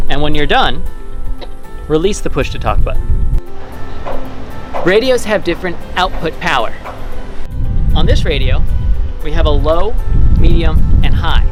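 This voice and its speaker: A man in his thirties explaining procedures methodically, step by step, as an instructor recorded outdoors by the water. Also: on the high side. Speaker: boat club operations director giving instruction. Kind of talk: instructional demonstration. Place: outdoors by the water, at a dock or on a boat.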